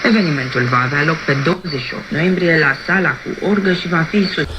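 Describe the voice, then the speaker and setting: A radio newsreader delivering scripted news over shortwave reception. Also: female voice, low-pitched, fast talker, noisy background. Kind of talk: scripted cultural news reading.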